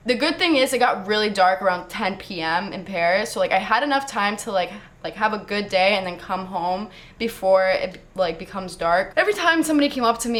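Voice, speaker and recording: A young American woman telling a travel story to camera in a chatty, casual way, full of fillers and quick laughs. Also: medium pitch, fairly bright tone. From United States. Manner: chatty, fast, lots of "like", laughs often. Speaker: travel vlogger.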